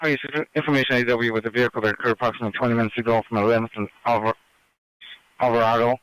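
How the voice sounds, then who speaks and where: Guy on police radio putting out a bulletin, monotone, clear medium voice, super dry. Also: mid-range voice, no echo, poor audio. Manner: measured, repeats and spells out details phonetically. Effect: police radio transmission. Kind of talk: procedural police broadcast of suspect descriptions.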